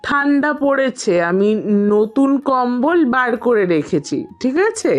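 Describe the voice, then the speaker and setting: A woman's voice: high voice, animated, unhurried. A woman voicing a caring village mother in a cartoon, fussing and admonishing in a motherly way. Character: fussy, caring village mother instructing her daughters. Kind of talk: cartoon voice acting.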